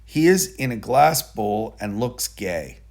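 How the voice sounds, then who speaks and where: Male children's book reader, expressive and animated, unhurried pace, slightly husky tone. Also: lowish voice. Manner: deliberate, expressive storytelling for young children. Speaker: storybook reader. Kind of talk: children's picture book read-aloud.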